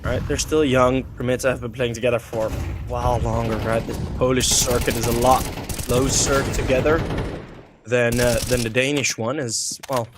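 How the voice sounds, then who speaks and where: A young man whose first language is Dutch, speaking with a Dutch accent as he gives relaxed play-by-play commentary, thinking aloud with many fillers and restarts. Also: mid-range voice, moderate pace, very noisy. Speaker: esports caster.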